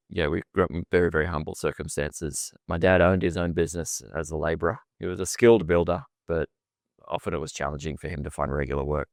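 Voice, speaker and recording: Podcast guest: middle-aged Australian man, low-ish, smooth clear voice, chatty and self-deprecating, dry close sound. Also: no room sound. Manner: chatty and self-deprecating, often repeats words and laughs. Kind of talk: casual storytelling interview.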